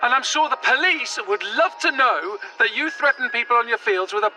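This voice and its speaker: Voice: medium pitch, lively intonation, fairly quick, noisy background, natural voice. An actor playing an exhausted, indignant traveller with a British accent in an audio drama, cutting and exasperated. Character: exhausted, indignant traveller Madeline, pleading then sharp-tongued.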